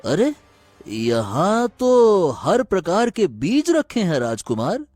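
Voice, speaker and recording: A man's voice: sits high, wildly swooping pitch, slow pace, darkish tone, dry recording, faint music behind, heavily acted. A man playing a deferential royal minister in a cartoon fairy tale, speaking in a respectful, exaggerated way. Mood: agreeing, surprised. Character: deferential royal minister advising his young prince. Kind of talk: animated Hindi moral-story cartoon dialogue.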